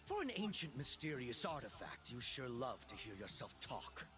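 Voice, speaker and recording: A man's voice: medium pitch, sing-song, normal speed, close to an everyday voice. A man voicing a cocky, power-hungry young villain in a video game, smug, dramatic and teasing. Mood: mocking. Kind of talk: video game character voice lines. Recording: game music behind the voice.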